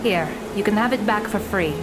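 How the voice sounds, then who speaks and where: Woman as a grumpy teenage girl, dry, blunt, mid-range voice, audio drama, loud music behind. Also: mid-range voice, fairly quick, natural voice. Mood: annoyed, dry.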